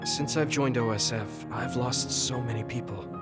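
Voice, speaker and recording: A man's voice: mid-range voice, normal speed, lightly acted. A man voicing an earnest, sincere young male soldier in an anime game dub, reflective in delivery. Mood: grieving, guilty. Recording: music playing behind the voice. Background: game background music.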